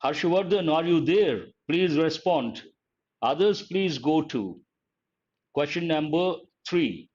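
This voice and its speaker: A man's voice: on the high side, lively intonation, a little mellow. Older Indian man teaching grammar. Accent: Indian English. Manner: addresses students by name, asks and answers his own questions; slow, deliberate, repeats key points. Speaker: English teacher. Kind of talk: online grammar lesson. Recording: dull lo-fi audio.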